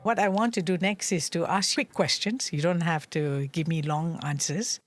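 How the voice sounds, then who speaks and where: A woman's voice: fairly low pitch, normal speed. A woman from Singapore hosting an interview, asking simple questions in a conversational way. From born in Sri Lanka, moved to Singapore at seven. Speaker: interview host. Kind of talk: conversational interviewing with short listening responses.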